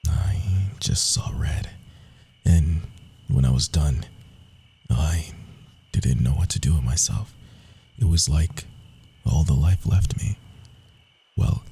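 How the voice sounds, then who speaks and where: A man playing a proud, wounded young rogue assassin in an intimate ASMR roleplay, speaking in a hushed, confiding way with dramatic pauses. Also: rumbling low, heavily acted. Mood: grim, bitter. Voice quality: husky, breathy, often dropping to a whisper.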